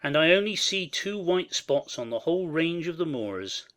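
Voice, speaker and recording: A man playing a devoted, proud gentleman husband in a dramatized audiobook. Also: on the high side, sing-song, moderate pace. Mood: tender, wistful.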